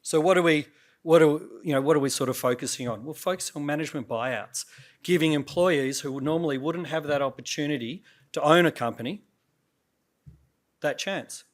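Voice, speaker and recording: A man pitches a new venture to a room of investors from the stage. His voice sits a little high and is slightly croaky. He talks loosely, with plenty of fillers and restarts, as if speaking without notes. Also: a little fast. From Australia. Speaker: startup CEO pitching to investors. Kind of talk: conference pitch talk.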